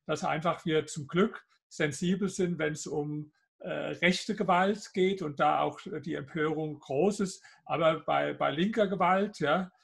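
An older man with a mild Hessian lilt answers questions over a video call from his home office. His voice sits fairly high for a man, with a gravelly, husky edge. He pauses often on fillers as he builds a pointed argument. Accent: Hessian German. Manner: hesitant, many fillers, argumentative. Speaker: guest author. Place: home office with bookshelves, indoors.

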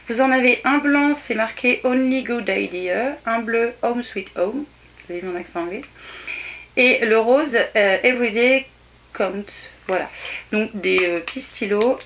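A woman's voice: fairly high pitch, a bit bright, a little hiss or hum. A woman in her thirties from France, chatting through a shopping haul at home, hesitant with many fillers and enthusiastic. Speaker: haul vlogger. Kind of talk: casual show-and-tell haul. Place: small indoor bedroom set.

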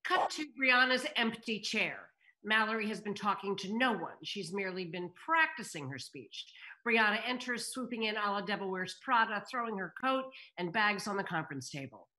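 A woman's voice: medium pitch, lively intonation, normal speed, sharp tone, rough recording. An older woman reading scripted stage directions aloud over a video call. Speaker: table-read narrator reading stage directions. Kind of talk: scripted narration read aloud. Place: room at home.

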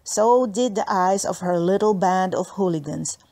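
A woman reading a children's book aloud as an expressive storyteller. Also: mid-range voice, normal speed. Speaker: audiobook reader.

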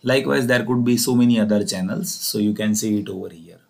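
A man's voice: lowish voice, fast talker, vocal fry. A man with an Indian accent explaining engineering material step by step as a lecturer, in an explanatory, repetitive way. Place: voice-over recorded over slides.

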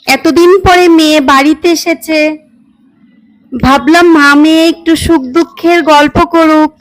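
A woman's voice: extremely high pitch, low-quality recording. A woman voicing a dutiful, chatty young daughter-in-law in a children's doll story, polite and mildly chiding.

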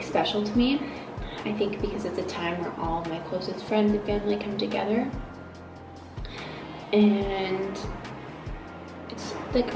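A woman's voice: mid-range voice, distant mic. Young woman vlogger, sleepy morning voice, casual chat, roomy balcony sound. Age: 20s. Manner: relaxed, rambling, many fillers. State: groggy, just woke up. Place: apartment balcony, outdoors.

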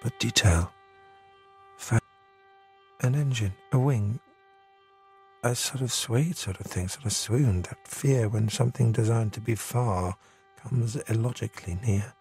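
A man reading a dramatic poem in clipped, intense fragments, his delivery often hushed. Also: fairly low pitch, unhurried, long pauses, breathy edge. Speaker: reader. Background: music.

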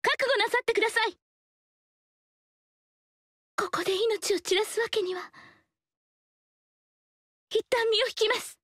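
A woman voicing a graceful, humble noble dancer in a video game, speaking softly and politely in elegant formal speech. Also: very high-pitched, measured pace, long pauses, dry recording, studio quality. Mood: courteous. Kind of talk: game character voice lines.

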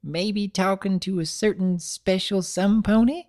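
Man putting on a high-pitched old granny pony. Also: fairly even intonation, measured pace, darkish tone. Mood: teasing, insistent. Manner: folksy, scolding. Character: bossy, folksy old granny pony, loving.

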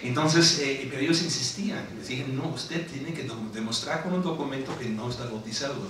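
A middle-aged man with a German accent, an author telling anecdotes on a panel, laughing as he goes and often adding tag questions. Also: mid-range voice. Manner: animated storytelling, laughing, frequent tag questions. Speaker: author at a book presentation. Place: large lecture hall. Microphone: table microphone on stand. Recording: echoey hall from far off with noise.

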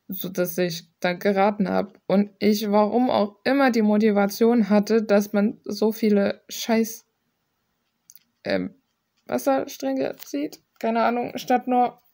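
A woman's voice: a little high, a little mellow. A young woman chatting casually in a rambling art vlog, blunt and slangy with some swearing. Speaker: video creator.

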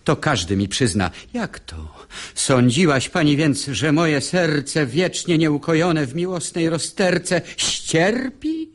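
An actor playing a proud, wounded young lover, declaiming lofty verse in a classical radio comedy. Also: male voice, fairly high pitch, big pitch swings, slow pace, exaggerated voice. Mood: wounded pride.

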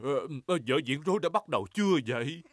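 A man's voice: on the high side, expressive, fast talker, heavy background noise, close to an everyday voice. A man voicing an easygoing, greedy uncle pig in a children's cartoon dub.

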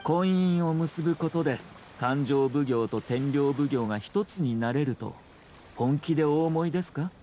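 A man playing a refined young noble commissioner in a Japanese anime game, speaking calm, formal words laced with veiled sarcasm. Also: on the high side, moderate pace, close to an everyday voice. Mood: cool, ironic. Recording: game dialogue over ambient scene noise. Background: game scene ambience.

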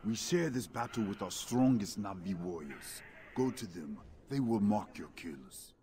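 Grave, low alien warrior. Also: male voice, lively intonation, a little slow, dark tone, a little hiss or hum. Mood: stern, measured. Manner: stilted, formal, terse English. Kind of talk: video game dialogue acting.

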